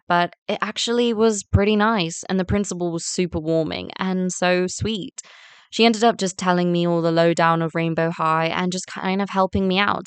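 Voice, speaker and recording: A woman playing an excited, bubbly new scholarship schoolgirl, narrating her own story in an amateur game-animation drama. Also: lowish voice, clean tone, no echo, close to an everyday voice. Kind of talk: first-person scripted story narration and acting.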